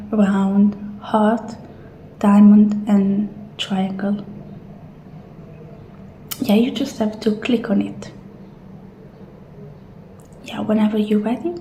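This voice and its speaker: Slow, soft-spoken ASMR woman, often whispering. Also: mid-range voice. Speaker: ASMR roleplay performer as a clinic assistant. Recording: roomy echo, faint background noise.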